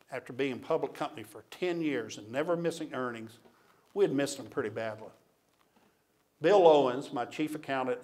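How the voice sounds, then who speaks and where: An older man gives a keynote at a podium microphone. His voice is medium in pitch, and he tells his story in a plainspoken, confessional way. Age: 60s or older. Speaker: keynote speaker. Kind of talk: storytelling public lecture. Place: auditorium stage at a lectern. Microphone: podium gooseneck microphone.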